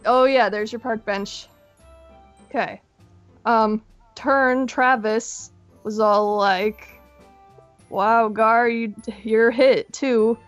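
A woman's voice: fairly high pitch, fairly even intonation, drawn-out words, long pauses, no room sound, highs cut off, soft background music. A woman voicing an exasperated young woman reading fan fiction aloud with dry, put-upon delivery in a Minecraft roleplay. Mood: reluctant, reading aloud.

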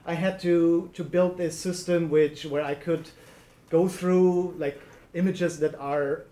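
A man with a German accent gives a conference presentation in a lecture room. His voice sits a little high, and the echoey room adds reverb. He talks in a chatty way, full of fillers and little laughs. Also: fairly even intonation, slow pace, mellow. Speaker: conference speaker. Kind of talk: presentation talk.

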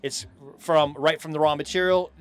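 A middle-aged American man being interviewed casually outdoors on a handheld mic, speaking in halting, stop-and-go phrases. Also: a little high, steady tone, clear, resonant tone. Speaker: hemp farm owner being interviewed. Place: outdoors on a festival lawn. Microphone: handheld microphone.

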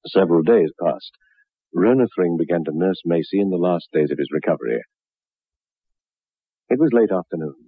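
Grave male narrator for a macabre 1960s radio tale: deep, measured, dry narrow-band sound. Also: animated, husky, no room sound, full character voice. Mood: grave, ominous. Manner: measured, ominous storytelling. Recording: muffled narrow-band old radio recording.

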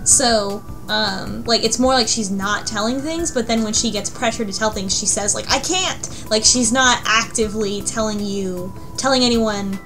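A woman with a fairly high voice chats casually as a co-host. She retells things with animation, in loose everyday phrasing. Music plays clearly behind her. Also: normal speed, hardly any pauses. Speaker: podcast co-host. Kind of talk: casual book club discussion.

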